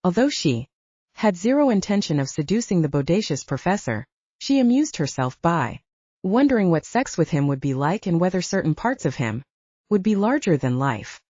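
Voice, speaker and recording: A woman narrates an audiobook with a low, mellow voice and lively, varied intonation. She reads scripted fiction, and the sound is close and dry. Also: moderate pace, no echo, dull audio.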